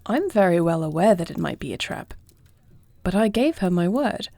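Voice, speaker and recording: A woman's voice: on the low side, big pitch swings, moderate pace, gravelly, warm tone, exaggerated voice. A woman playing a proud, dutiful young-married warrior princess who can shift into a dragon, speaking formally in a scripted audio roleplay. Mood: firm. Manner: formal, regal phrasing. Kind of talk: scripted audio roleplay acting, one side of a dialogue.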